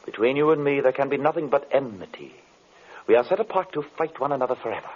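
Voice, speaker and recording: An actor playing a wary, defiant magician hero in old radio horror, speaking in a formal, solemn, theatrical way. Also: male voice, mid-range voice, animated, quick pace, breathy edge. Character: defiant, wary male magician, sworn enemy of the villain. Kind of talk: 1930s radio horror acting. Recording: muffled vintage radio recording.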